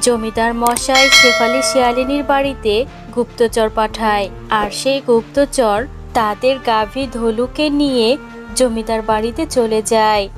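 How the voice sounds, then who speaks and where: A woman's voice: fairly high pitch, steady tone, unhurried, faint music behind. A woman narrator reading a scripted children's fairy tale.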